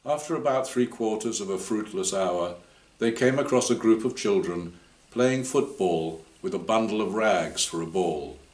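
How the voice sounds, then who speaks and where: An older man reading his short story aloud in a measured, deliberate way, indoors. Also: fairly low pitch, a little mellow. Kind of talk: scripted story narration. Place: indoor room before a banner. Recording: roomy indoor echo.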